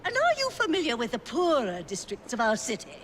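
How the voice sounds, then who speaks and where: Posh english victorian lady, prim n bossy, highish lilting voice, game cutscene. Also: huge pitch swings, fairly bright tone, a little hiss or hum, heavily acted. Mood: polite, assured. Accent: upper-class English. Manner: prim, brisk, commanding. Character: genteel, imperious, curious Victorian Prime Minister's wife. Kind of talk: video game cutscene acting.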